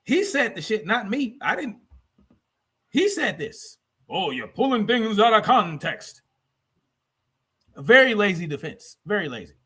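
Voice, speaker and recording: A man's voice: high-pitched, big pitch swings, measured pace, pauses often, pushed and bright, husky. A man hosting a livestream in a combative, blunt, profane way, repeating phrases for emphasis as he reacts to clips and chat. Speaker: livestream host.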